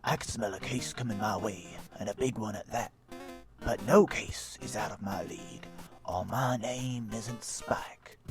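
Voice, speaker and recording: A man voicing a self-important, dim hardboiled private eye, narrating a deadpan film noir parody in a melodramatic way. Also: fairly low pitch, a little slow. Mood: deadpan, brooding. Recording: music behind the voice. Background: background music.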